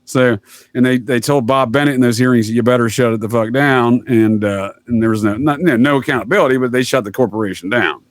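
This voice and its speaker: A man's voice: medium pitch, fairly quick, dry recording, clean studio sound. A man from the United States explaining things casually as a podcast guest, with wry, folksy asides.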